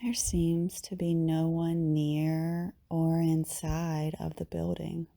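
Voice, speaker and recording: A woman leading a guided meditation in a soothing, unhurried way, with long pauses and phrases that tend to trail off softly. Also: sits low, little pitch movement, creaky. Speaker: yoga teacher, meditation guide.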